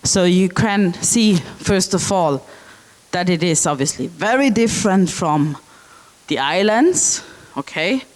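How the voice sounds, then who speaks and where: A woman from South Tyrol giving a public lecture with slides, speaking slowly with frequent ums and check-in okays. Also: lowish voice, lively intonation, low-quality recording. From South Tyrol, Italy.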